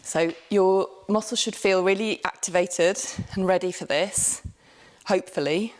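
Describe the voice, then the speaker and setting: A woman yoga teacher calmly cueing a class step by step, with light laughs and the odd self-correction. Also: mid-range voice, measured pace. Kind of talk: guided yoga class instruction.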